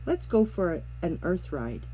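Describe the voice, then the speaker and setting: A woman voicing a dreamy, curious young girl in a storybook, speaking softly as if to herself. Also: on the low side, very animated, measured pace, breathy edge, full character voice, poor audio. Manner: soft, wondering, talks as if to herself.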